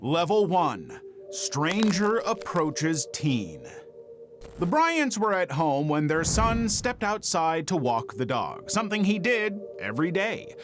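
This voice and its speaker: A man reading scripted true-crime narration in a measured, dramatic storytelling manner. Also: on the high side, big pitch swings, over music.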